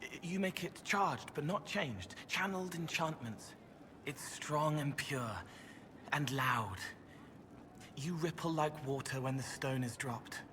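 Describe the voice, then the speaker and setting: An actor playing a gentle, otherworldly young man in a video game, speaking in dreamy, halting, poetic fragments. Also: fairly high pitch, slight background noise. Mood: dreamy wonder. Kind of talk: video game dialogue acting.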